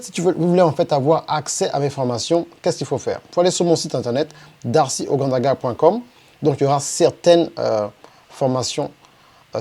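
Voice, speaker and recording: Middle-aged French man, mellow voice. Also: a little high. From France. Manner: frequent "en fait" fillers and hesitations. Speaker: business coach. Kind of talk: unscripted presentation monologue.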